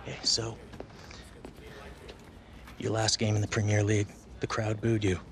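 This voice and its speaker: A man's voice: lowish voice, completely flat delivery, normal speed, a little hiss or hum, lightly acted. A man playing an intense film director in a video game cutscene, coaxing with short, emphatic, repeated prompts.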